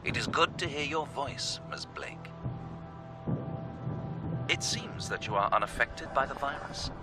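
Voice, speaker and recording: Calculating scientist, lowish voice, very wide intonation, formal, ominously courteous, game cutscene, clear music behind. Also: male voice, very animated. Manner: formal, measured, theatrical. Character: smooth, calculating pharmaceutical scientist, polite and ominous. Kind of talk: scripted game cutscene dialogue.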